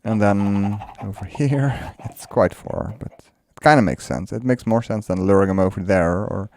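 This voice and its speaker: A man's voice: fairly low pitch, unhurried, a little mellow, no room sound, studio quality. A man whose first language is Dutch, casually commenting while he builds in a game, musing aloud and laughing often. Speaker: let's play creator.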